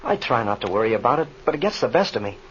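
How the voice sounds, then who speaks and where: An American actor playing an anxious, earnest young student pilot in a vintage radio serial, sincere and boyishly polite. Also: male voice, mid-range voice, sing-song, very fast, natural voice. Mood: worried. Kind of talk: 1930s radio serial acting. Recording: muffled, noisy old radio recording.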